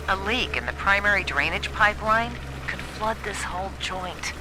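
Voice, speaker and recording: An actor playing a fretful, slangy working man giving an urgent warning in a video game audio diary. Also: high voice. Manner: urgent, slangy, colourful talk. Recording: noisy old-style audio log.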